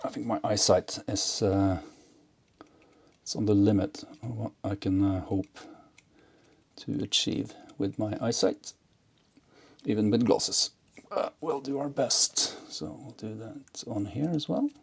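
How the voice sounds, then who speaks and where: A man's voice: fairly low pitch, unhurried, vocal fry, pauses often, slightly rough. A man giving a casual hands-on demonstration, thinking aloud hesitantly as he works. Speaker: host of an electronics and ham radio build video.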